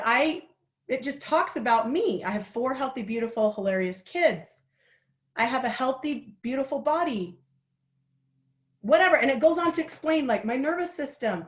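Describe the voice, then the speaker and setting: A woman of forty with a chatty, candid manner, guiding listeners through a writing exercise and laughing at herself as she goes. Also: high voice. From Utah, United States. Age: 40s. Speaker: workshop host. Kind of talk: guided writing workshop.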